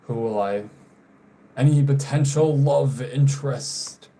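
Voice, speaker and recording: A young man from North Carolina chatting casually on a live stream while drawing. He often trails off quietly and apologizes for mispronouncing viewers' names. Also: medium pitch, takes it slowly. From North Carolina, USA.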